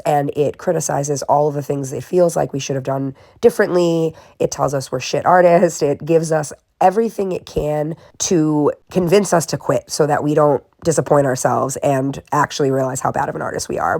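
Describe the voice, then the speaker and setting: Sick, raspy-voiced woman narrating. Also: low-pitched, dark tone, dry recording, studio quality. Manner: candid, reflective, self-deprecating. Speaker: art therapist and art vlogger.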